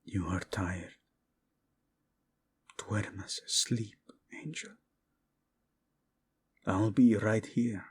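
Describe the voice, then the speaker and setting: Man acting slow, husky, brooding king. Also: lowish voice, exaggerated voice. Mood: tender, soothing. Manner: brooding, commanding, tender in endearments. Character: proud, vengeful young king, fiercely protective of his wife. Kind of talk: dramatic romance audio roleplay acting.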